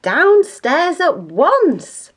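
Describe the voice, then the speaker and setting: A woman's voice: very high voice, big pitch swings, very slow, voice pushed up, full character voice. A woman voicing a harried, exasperated mother elephant in a bossy, emphatic way, for a children's storybook.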